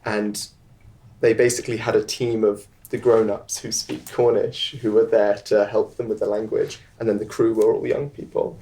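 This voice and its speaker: A young man, a filmmaker guest, chatting at a live panel in a halting way, with many ums and restarts. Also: on the low side, flat delivery, gravelly, warm tone, poor audio. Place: live event on stage.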